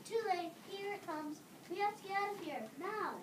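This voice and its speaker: A woman's voice: extremely high pitch, moderate pace. A young woman playing an anxious, scolding schoolgirl in readers theater, fretful and exclamatory. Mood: alarmed. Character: anxious, scolding schoolgirl fleeing through a pyramid. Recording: distant echoey room, clear background noise.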